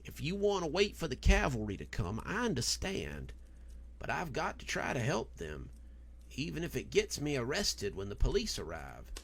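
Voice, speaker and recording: A man's voice: mid-range voice, huge pitch swings, normal speed, vocal fry, pitched above the performer's own voice, exaggerated voice. A man voicing an earnest, resolute and protective male friend in a horror audiobook.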